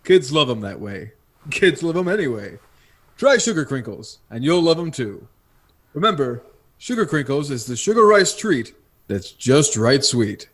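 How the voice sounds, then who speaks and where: A man's voice: mid-range voice, big pitch swings, unhurried, fairly bright tone, breathy edge. A man reading a 1950s-style radio commercial as an announcer, with folksy salesmanship. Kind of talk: recreated 1950s radio advertising pitch.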